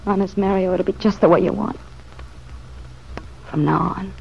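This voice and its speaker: A woman's voice: medium pitch, fairly even intonation, normal speed, creaky, lightly acted. An actress in an old radio drama playing a world-weary, slangy, street-wise woman who speaks with raw emotion. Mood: pleading. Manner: slangy, emotional, hushed then flaring. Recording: dull, noisy vintage radio recording.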